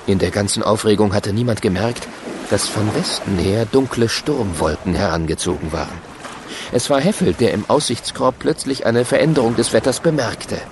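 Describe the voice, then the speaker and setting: A man's voice: fairly low pitch, normal speed, noisy background. A man narrating a children's radio play in a vivid storytelling manner. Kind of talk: scripted audio drama narration.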